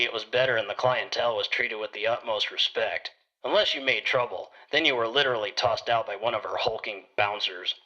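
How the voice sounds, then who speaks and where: A man's voice: on the low side, sharp tone, lo-fi sound. A man narrating a scripted first-person detective story in a hard-boiled storytelling manner. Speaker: narrator playing a private detective.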